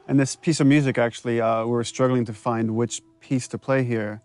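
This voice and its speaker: A man's voice: mid-range voice, steady tone, fairly quick, a little mellow. A man giving casual, explanatory commentary as a game director, in a conversational way. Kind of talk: casual behind-the-scenes commentary.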